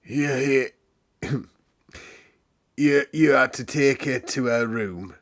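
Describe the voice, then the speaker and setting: Man doing a husky, stern old manservant. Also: medium pitch, extremely slow, pitched above the performer's own voice. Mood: gruff, instructing. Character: thin, neat old manservant, stern and orderly.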